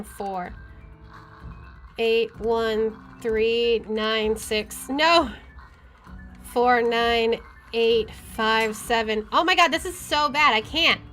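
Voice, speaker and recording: A woman game streamer with an expressive, excitable delivery, reading aloud and commenting as she plays. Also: a little high. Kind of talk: dramatic reading aloud and let's play commentary. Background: faint game music.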